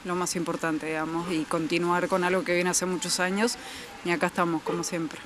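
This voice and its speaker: A woman's voice: on the low side, level tone, fairly quick, noisy background. A young woman with an Argentine accent giving spontaneous answers in an interview. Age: young adult. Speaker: family farm interviewee. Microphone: handheld microphone.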